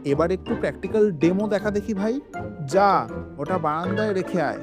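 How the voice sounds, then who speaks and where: A man's voice: high-pitched, fairly quick, music in the background, lightly acted. A man playing a timid, shaky, whiny young friend who needles his pals in a comedy audio drama. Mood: needling.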